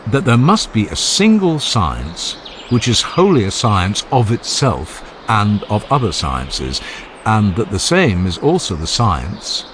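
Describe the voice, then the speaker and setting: A man's voice: lowish voice, big pitch swings, takes it slowly, background noise. A man reading the part of an earnest, genial ancient philosopher who questions relentlessly, step by step, in a dramatized audiobook dialogue. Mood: probing. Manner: rhetorical, emphatic, step-by-step questioning.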